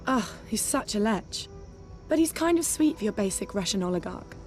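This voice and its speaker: A woman's voice: medium pitch, expressive, normal speed, natural voice. An actress plays a worldly socialite who spies on the side in a game cutscene. She sounds playful, arch and sure of herself, with music under her lines. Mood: gossipy, breezy. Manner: playful, arch, self-assured. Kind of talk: video game cutscene acting. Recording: music behind the dialogue.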